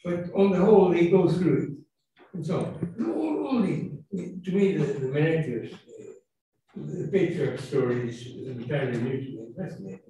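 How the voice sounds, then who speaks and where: A man's voice: a little high, animated, warm tone, a little hiss or hum. Rambling man heard from far in an echoey hall. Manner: rambling, halting, musing. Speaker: audience member asking a question. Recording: echoey hall, distant mic.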